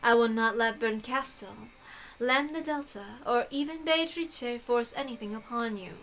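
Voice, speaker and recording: A woman voicing an ancient, frail, haughty witch from a visual novel, speaking quietly in measured, formal, imperious phrases. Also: on the high side, rough recording. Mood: stern, measured. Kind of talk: visual novel read-aloud.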